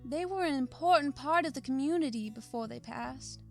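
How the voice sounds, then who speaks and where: A woman playing a gentle, earnest grandmother from a farm in a fantasy visual novel. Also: high-pitched, moderate pace, faint music behind. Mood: tender, earnest. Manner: tender, earnest, reassuring. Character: gentle elderly grandmother who runs a farm.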